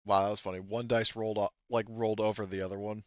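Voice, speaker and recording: A man's voice: on the low side, flat delivery, quick pace, clean tone, no room sound, highs cut off. A man acting as game master, casually explaining rules and narrating a tabletop game step by step.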